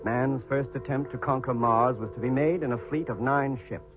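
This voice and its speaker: An actor playing a solemn, weary astronaut who narrates his expedition journal in a measured, formal 1950s radio drama style. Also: medium pitch, steady tone, natural voice. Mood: grave. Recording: vintage 1950s radio, narrow band, music behind.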